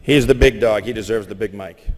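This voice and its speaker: A man's voice: fairly low pitch, a little fast. A man hosting a live convention panel over a stage microphone, projecting to hype up the crowd. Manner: hyping the crowd, projecting loudly. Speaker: panel host and creator. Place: large convention hall stage. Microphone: stage microphone through PA. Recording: echoey convention hall PA, long reverb.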